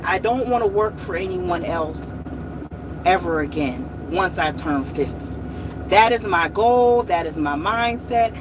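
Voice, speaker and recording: A woman's voice: medium pitch, background noise. A woman in her late forties hosting a motivational vlog, speaking in a repetitive, encouraging way and often saying y'all. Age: 40s. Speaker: host.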